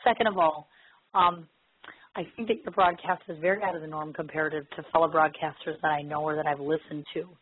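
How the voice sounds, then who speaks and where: Woman, hoarse laryngitis voice, quick pace, chatty interviewer, muffled lo-fi radio sound. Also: sits low, fairly even intonation, slight background noise. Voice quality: hoarse, husky. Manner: chatty, informal. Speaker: internet radio show host. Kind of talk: casual interview chat.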